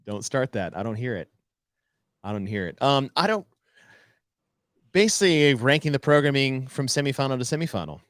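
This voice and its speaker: A man's voice: medium pitch, a bit bright, no room sound, clean studio sound. A man co-hosting a casual podcast, teasing his partner and laughing often as he talks. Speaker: podcast co-host.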